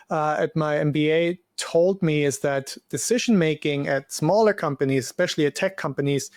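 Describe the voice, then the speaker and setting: A man's voice: a little high, no echo. German-accented man, dry close sound. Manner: thoughtful, explanatory, with frequent fillers. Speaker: guest, finance educator. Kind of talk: conversational interview answers.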